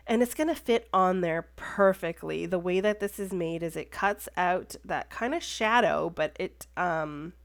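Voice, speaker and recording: A woman with a stuffy, congested voice narrating a card-making tutorial in a chatty way, often pausing on "um" and correcting herself. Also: mid-range voice. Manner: chatty, with frequent "um" and self-corrections. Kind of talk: casual voice-over tutorial narration.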